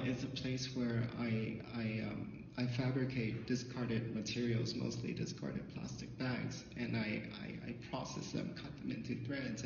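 A middle-aged man from Thailand whose first language is Thai, telling a personal story at a symposium. He talks through a handheld mic in a dim hall, haltingly, with many fillers and restarts. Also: mid-range voice, creaky, a little mellow, a little hiss or hum. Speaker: artist presenter. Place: dim hall with projector screen. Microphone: handheld microphone, filmed from afar. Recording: distant, echoey, dull hall audio.

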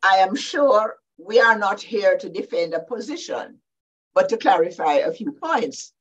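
An older Jamaican woman speaking as a panelist over a video call from her home study, deliberate and explanatory. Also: medium pitch, animated. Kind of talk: measured panel talk. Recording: dull lo-fi video call audio.

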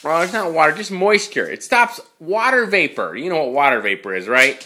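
A father filming his young son in a basement, chatting playfully and asking gentle questions. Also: fairly high pitch, lively intonation, brisk, slight background noise. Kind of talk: casual home-video chat with a child. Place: concrete-block home basement, indoors. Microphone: handheld camera held by the speaker.

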